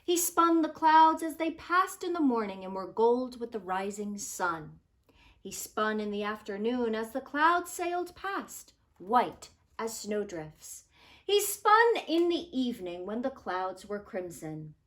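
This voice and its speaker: A woman reads a children's story aloud with expressive, dramatic phrasing. Her voice is bright and fairly high, and she takes her time over the words. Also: measured pace. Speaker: storybook reader.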